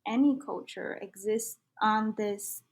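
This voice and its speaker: A woman's voice: medium pitch, slow. A young woman from Vietnam, a native Vietnamese speaker with a Vietnamese accent, speaking earnestly and reflectively as a podcast guest. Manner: earnest, reflective, uses many fillers. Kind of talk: casual conversation.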